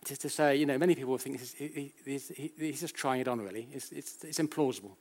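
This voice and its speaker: A British man speaks as an academic lecturer at a medium pitch. He explains in a conversational, anecdotal way, putting rhetorical questions to his listeners. His sentences often stop and start again as he repeats and corrects himself. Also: a little mellow. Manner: halting, self-correcting, with frequent repetitions.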